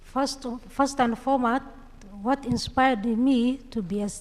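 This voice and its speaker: A fairly high female voice rings in a reverberant hall. The woman has a Kenyan accent and gives her account to a panel session haltingly, often repeating words. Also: steady tone. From Mandera, Kenya. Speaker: panelist, community digital trainer. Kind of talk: unscripted panel testimony. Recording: echoey hall with long reverb.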